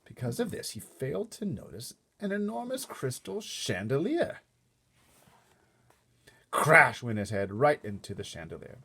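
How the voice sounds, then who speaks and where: A man reading a children's book aloud in an expressive, often hushed way, adding casual explanatory asides. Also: medium pitch, unhurried, vocal fry, husky. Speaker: storybook reader.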